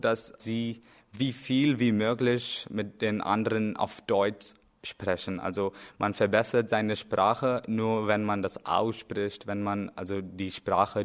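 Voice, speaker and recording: Young Indian man speaking halting German. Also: on the low side. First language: Hindi. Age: young adult. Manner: halting, searching for words with frequent fillers. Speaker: German learner. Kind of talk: personal portrait talk.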